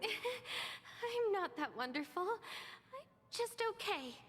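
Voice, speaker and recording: An actress playing a young woman in a video game, with casual, expressive delivery. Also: very high voice, slow pace, exaggerated voice. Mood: cheerful, modest. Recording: reverberant, music underneath.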